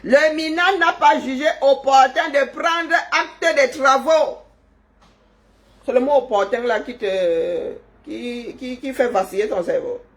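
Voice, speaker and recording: A woman delivers teasing, scolding commentary to viewers through her phone or webcam. She talks slowly and drawls her words, mocking her critics with sarcasm. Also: high voice. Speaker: online commentator. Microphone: webcam or phone on a screen.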